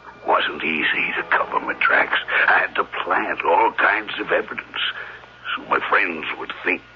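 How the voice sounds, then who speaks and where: An actor playing a guilt-crazed murderer making a rambling, halting confession in an old-time radio drama. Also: male voice, low voice, lively intonation, slight background noise. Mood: haunted, rambling. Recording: dull radio recording, music underneath.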